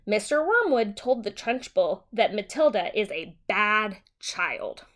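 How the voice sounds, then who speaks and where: A woman teacher reading a simplified children's story aloud and explaining it in a deliberate, simple way for young children. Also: medium pitch, very animated, a bit bright.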